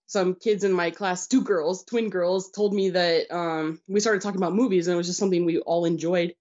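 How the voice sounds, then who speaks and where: A woman's voice: lowish voice, a little mellow. Enthusiastic American woman, lo-fi sound. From United States. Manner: enthusiastic, storytelling, laughs easily. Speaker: podcast guest. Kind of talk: casual interview chat.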